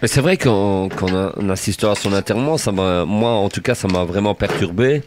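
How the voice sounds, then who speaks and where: Very deep-voiced halting man. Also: background noise. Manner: halting, repeats words, laughs often. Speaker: band singer and lyricist. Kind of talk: casual interview chat.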